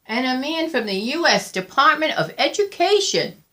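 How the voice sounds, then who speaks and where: A woman reading a children's picture book aloud in a deliberate, expressive storytelling manner, with drawn-out emphasis and pauses. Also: high voice. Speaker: volunteer picture book reader.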